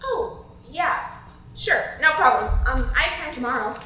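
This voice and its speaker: A woman's voice: sits high, animated, unhurried, pushed and bright, full character voice. A young woman playing an eager, earnest student reporter in naturalistic dialogue, in an echoey, distant recording. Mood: eager, polite. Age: young adult. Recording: very echoey, distant, faint noise.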